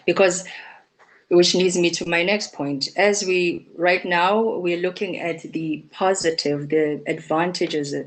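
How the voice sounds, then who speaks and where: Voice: medium pitch, fairly even intonation, slow pace, low-quality recording. An English teacher leading an online speaking class, encouraging the learners and affirming their points. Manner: encouraging, affirming with "truly" and "mm-hmm". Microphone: video call.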